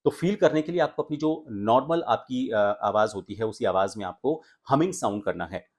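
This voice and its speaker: एक आदमी जो आवाज़ सुधारने का पाठ पढ़ाता है, हमिंग की आवाज़ें करके दिखाता है और हिंदी लहजे में बोलता है।. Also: male voice, medium pitch, animated, moderate pace. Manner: explanatory, demonstrates humming sounds. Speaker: voice coach and anchor. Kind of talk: voice training lesson.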